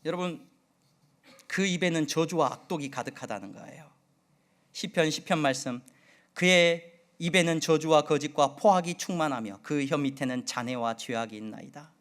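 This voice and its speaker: A middle-aged male pastor from the Jeolla region preaching a sermon, with a slight Jeolla accent, asking his congregation many questions and moving between loud and soft delivery. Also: a little high, moderate pace. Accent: Seoul Korean with occasional Jeolla dialect. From Jeolla region, Korea.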